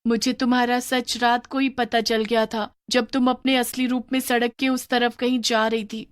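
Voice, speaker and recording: A woman voicing a curious, scared young schoolgirl, talking high and excitedly. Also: normal speed, no room sound, clean studio sound, lightly acted. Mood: pleading.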